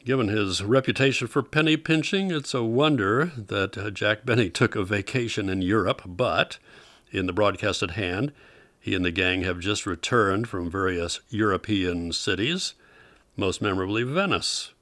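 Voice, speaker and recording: A man's voice: on the low side, moderate pace, a bit bright. A man hosting a program, giving scripted introductions and background in a presenter's style. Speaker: host of an old-time radio program.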